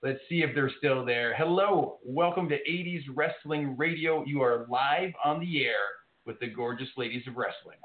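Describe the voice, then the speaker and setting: A man's voice: medium pitch, moderate pace, hardly any pauses. A man hosting a call-in radio show, upbeat and steering it from caller to caller. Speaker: radio host. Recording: roomy, phone-like sound.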